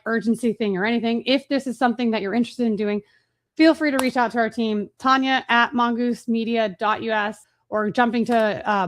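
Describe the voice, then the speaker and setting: A woman hosting a marketing webinar, talking fast and casually with fillers and restarts. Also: on the high side. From Chicago, USA. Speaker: webinar host.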